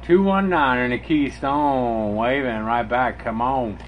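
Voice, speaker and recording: A man's voice: medium pitch, slow pace. Man making CB radio calls over static. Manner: repetitive call-sign patter, friendly. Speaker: CB radio operator. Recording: noisy radio static in the room.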